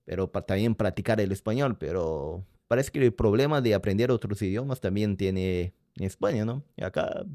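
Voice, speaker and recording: A man's voice: fairly low pitch, moderate pace, few pauses, mellow, dry recording, clean studio sound. A man whose first language is Portuguese, with a Brazilian accent, chatting casually and hesitantly while reacting to a video and reading the chat. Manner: hesitant, searching for words, reads chat aloud. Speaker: reaction streamer.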